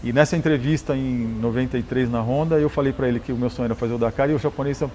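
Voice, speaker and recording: A Brazilian man, a motorcycle engineer and rally champion, telling stories in a relaxed interview, often restarting words and adding "né?". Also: mid-range voice, rapid-fire, a little hiss or hum. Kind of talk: conversational interview storytelling.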